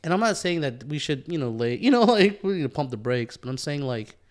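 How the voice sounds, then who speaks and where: A man with an American accent chatting casually as a podcast co-host, reflective and halting, often repeating himself. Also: on the high side, sing-song. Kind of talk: casual chat.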